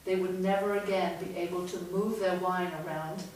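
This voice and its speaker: Middle-aged lady author, loud voice, chatty talk, faint hiss behind. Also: lowish voice, moderate pace. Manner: chatty, animated storytelling. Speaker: guest author and speaker. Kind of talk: public talk to an audience. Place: large echoey room from far off. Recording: echoey room, distant mic, faint noise.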